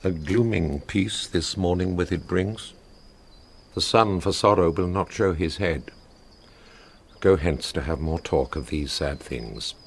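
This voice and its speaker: An actor playing a stern, commanding prince in a classical radio drama, passing judgement in formal, declamatory verse. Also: male voice, deep voice, a little slow, creaky, a little hiss or hum. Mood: solemn.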